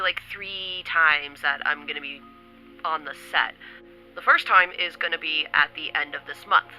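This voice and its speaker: Girl chatting to camera at home, really bright sharp voice, bit stuffy from a cold, faint music behind. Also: mid-range voice, poor audio. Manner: chatty, upbeat, swears casually. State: stuffy from a cold, occasional coughing. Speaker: vlogger. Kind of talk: casual chat to camera. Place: home in New York City.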